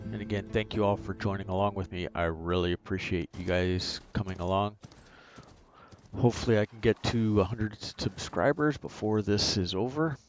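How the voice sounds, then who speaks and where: Low-voiced man casually commentating a game. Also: moderate pace. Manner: rambling, thinking aloud. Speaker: game streamer. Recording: game music behind the voice. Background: video game music.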